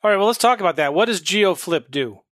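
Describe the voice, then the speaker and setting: Guy hosting an interview, slightly high voice, short prompting questions. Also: expressive, fast talker, a bit bright. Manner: prompting, asks for detail. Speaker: host.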